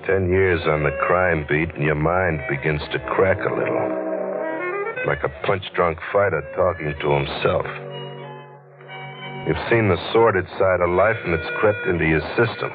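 Deep-voiced hard-boiled radio newspaperman. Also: moderate pace. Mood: weary, brooding. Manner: terse, weary, hard-boiled. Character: world-weary, hard-boiled male crime newspaperman. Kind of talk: 1940s radio noir acting and narration. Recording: muffled vintage radio, music behind.

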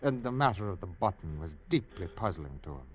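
A sly Irish rascal in a vintage radio play. His voice swings up and down in a lively lilt as he wheedles and needles with false friendliness, telling things the long way round. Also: low-pitched, brisk, highs cut off. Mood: soothing, needling. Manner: wheedling, lilting, roundabout storytelling. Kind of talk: old radio drama acting.

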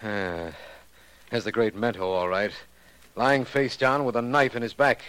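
An actor playing a genial, skeptical gentleman companion to a detective, wry and well-spoken, in 1940s radio mystery style. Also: on the low side, steady tone, unhurried, poor audio. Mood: grave, alert.

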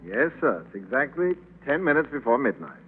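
An actor playing a polite, mildly sarcastic hotel clerk in a 1940s radio play. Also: male voice, mid-range voice, sing-song, fairly quick, husky. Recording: tinny old radio broadcast.

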